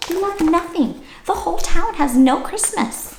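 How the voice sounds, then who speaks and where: A woman reading a children's storybook aloud in an expressive, dramatic way, addressing young listeners directly. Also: very high voice, measured pace, vocal fry, a little hiss or hum. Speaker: children's read-aloud host. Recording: roomy, echoey sound.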